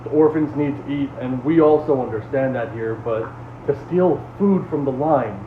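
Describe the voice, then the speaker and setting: A man acting a weary, patient community leader in a horror series, earnest and halting as he gently reprimands. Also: medium pitch, close to an everyday voice. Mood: earnest, firm. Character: weary, patient male leader of an underground refuge. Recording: tinny, echoey, noisy lo-fi audio.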